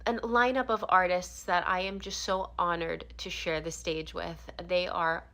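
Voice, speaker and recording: A woman's voice: lowish voice, fairly even intonation, a little slow, fairly bright tone. Gushing woman inviting people to a concert. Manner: gushing and chatty, correcting herself as she goes. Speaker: performer recording a concert promo. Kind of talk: excited promotional invitation.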